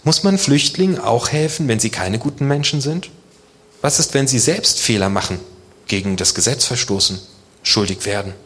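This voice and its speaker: A man's voice: medium pitch, expressive, moderate pace, a bit bright. A man from Germany preaching to a congregation in a casual, conversational way, often posing rhetorical questions. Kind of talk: conversational sermon addressing a congregation.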